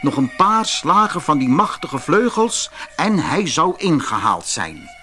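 Very animated male fairy tale narrator. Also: a little high, measured pace, soft background music. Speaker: audiobook narrator.

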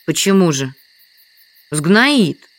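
An actress playing a meek, apologetic and quietly stubborn village hostess in an audio drama. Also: female voice, fairly low pitch, very animated, drawn-out words, dry recording, heavily acted. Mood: quietly insistent. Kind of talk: Russian audio drama dialogue.

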